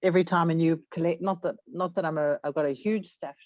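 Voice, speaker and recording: A woman with a fairly low voice, chatting and joking on a podcast, full of fillers. Her mic sounds dry and a bit muffled. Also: fairly even intonation, fast talker, a little mellow, no room sound. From South Africa. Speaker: podcast co-host. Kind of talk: casual chat.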